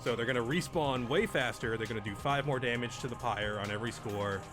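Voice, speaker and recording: A man's voice: mid-range voice, brisk, over music. A man giving casual commentary as he plays through a video game.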